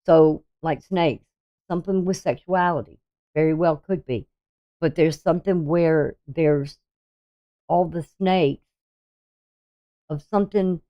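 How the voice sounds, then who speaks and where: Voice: mid-range voice, slow pace, pauses often, muffled recording. An astrologer in their sixties talking casually through a daily chart reading, rambling and hesitant, with many ums and restarts. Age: 60s.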